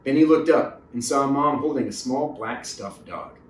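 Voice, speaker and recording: A man's voice: medium pitch, moderate pace, a little hiss or hum. A man reading a children's story aloud as a narrator, recorded in a roomy space away from the mic. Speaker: storybook reader. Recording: roomy echo, distant mic.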